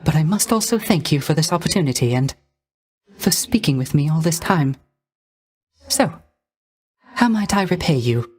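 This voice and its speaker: A melancholy dead male musician in a video game. His voice is light, soft and on the high side for a man. He speaks in courtly, old-fashioned phrases, wistful and a little hesitant, with theatrical gentleness. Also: animated, moderate pace, long pauses, slightly rough. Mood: hopeful, earnest.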